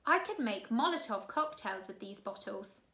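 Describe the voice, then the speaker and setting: A woman's voice: mid-range voice, lively intonation, normal speed, sharp tone. A woman voicing a worried, resourceful orphan girl in a storybook, earnest, in a roomy space. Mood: earnest, downhearted. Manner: earnest, downhearted. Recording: roomy, echoey room sound.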